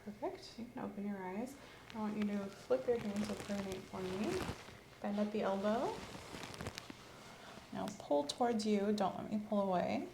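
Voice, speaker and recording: A woman hosting an ASMR physical exam roleplay, giving calm, step-by-step instructions in a soft voice. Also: medium pitch, vocal fry. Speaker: ASMR host performing an exam. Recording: roomy with faint hiss.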